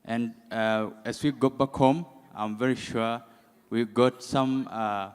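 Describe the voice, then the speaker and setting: Slow, halting Kenyan man in echoing hall. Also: mid-range voice, fairly even intonation, smooth. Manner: halting, hesitant. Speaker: youth director, summit delegate. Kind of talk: impromptu public remarks. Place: large indoor conference hall. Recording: echoey hall, long reverb.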